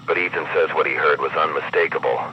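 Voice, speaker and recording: Super deep guy doing ominous emergency broadcast narration, measured, kinda muffled w noise behind. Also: fairly even intonation.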